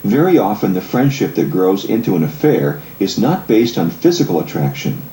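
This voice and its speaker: A man with a deep, mellow voice narrates an audiobook from a script. His delivery is measured and articulate, explaining each point clearly. The recording has a roomy echo around the voice. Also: very animated, slight background noise.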